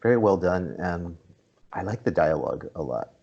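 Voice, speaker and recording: Low-voiced man hosting a podcast, dull recording. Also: lively intonation, normal speed, vocal fry, darkish tone, breathy edge. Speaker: podcast host. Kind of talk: casual film review discussion.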